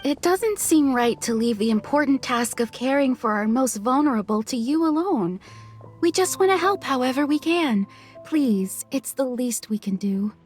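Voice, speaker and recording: Kind shop owner from a game, high pitched, sincere and gentle. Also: female voice, expressive, moderate pace, soft background music. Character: kindly, selfless female shop owner. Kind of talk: animated video game acting.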